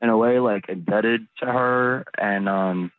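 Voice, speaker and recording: A man calling in to a radio show, talking casually in a halting, apologetic way. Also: fairly low pitch, dry recording. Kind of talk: hesitant casual phone talk. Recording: dull, lo-fi phone call.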